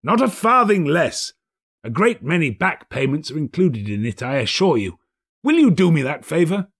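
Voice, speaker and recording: Guy doing giddy old man, reformed miser in a storybook, pitched way up, gushing and swooping all over. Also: big pitch swings, fairly bright tone, husky, full character voice. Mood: effusive, grateful. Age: older. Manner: exclamatory, drawn-out, gushing delivery. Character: elderly reformed miser, giddy, delighted, effusively kind. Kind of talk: dramatised audiobook character voice.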